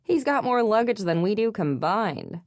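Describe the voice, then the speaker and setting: A woman's voice: low-pitched, sing-song, measured pace, a little mellow, dry recording, clean studio sound. A woman voicing a sassy, teasing young woman in an audiobook, speaking in a punchy, sarcastic, informal way. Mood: mocking. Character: sassy, playful young woman, blunt and teasing best friend.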